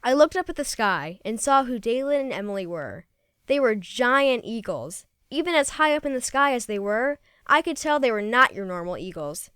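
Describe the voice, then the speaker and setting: Animated woman reading a children's story. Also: on the high side, normal speed, clear, resonant tone, dry recording, studio quality. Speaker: storybook reader.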